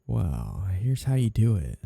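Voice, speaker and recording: Man acting a dark-voiced, flirty mafia boss. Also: fairly low pitch, very animated, a little slow, dry recording, heavily acted. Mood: coaxing, possessive. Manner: smooth-talking, teasing, theatrically polite while threatening. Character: flirty, two-faced, menacing male mafia boss, obsessively in love. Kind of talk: audio roleplay ASMR acting.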